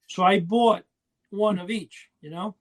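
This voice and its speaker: A man with a noticeably high voice talks in a loose, conversational way, like a collector on a podcast. He rambles, doubling back and drifting away from his own point. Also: steady tone, measured pace. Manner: rambling, often losing his thread. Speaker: podcast co-host. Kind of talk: casual chat about collecting.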